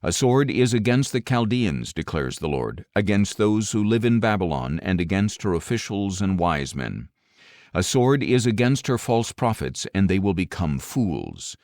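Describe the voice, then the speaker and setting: A man with a low, deep voice reads scripture as an audiobook narrator. His delivery is solemn and dramatic, with wide swings in pitch and measured, emphatic phrases that drop at their close. The recording is dry and close, without room sound. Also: very animated, darkish tone, no echo.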